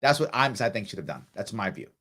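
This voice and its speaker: A man's voice: on the low side, big pitch swings, fast talker, pushed and bright. A middle-aged man from the South Bronx, hosting a live ask-me-anything stream, reading viewer comments aloud and answering offhand with fillers. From New York City, South Bronx. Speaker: livestream host answering viewer questions.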